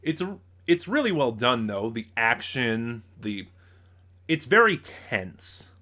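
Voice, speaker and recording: A man giving a casual, unscripted review as a reviewer, speaking haltingly and often losing his thread. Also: medium pitch, big pitch swings, bright, forceful tone. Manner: halting, loses his train of thought.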